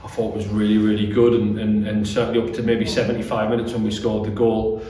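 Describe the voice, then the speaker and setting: A man's voice: fairly low pitch, little pitch movement, fast talker, dark tone. A British man in his thirties gives a post-match interview as a football manager. His phrasing is hesitant, with repeated words. The room is strongly echoey and he sits away from the mic. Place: small bare-walled room indoors. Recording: echoey room, distant mic, faint noise.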